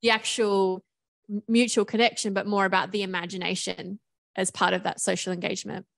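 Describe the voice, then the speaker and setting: Brief: lively gravelly woman in the audience, curious questioner. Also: mid-range voice, brisk. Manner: animated, laughs often. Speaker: audience member asking a question. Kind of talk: casual question at a panel.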